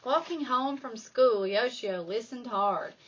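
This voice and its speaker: A woman reading a children's storybook aloud like a primary school reader, with dramatic emphasis and drawn-out key words. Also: a little high, highs cut off, slight background noise. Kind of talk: expressive children's storybook narration.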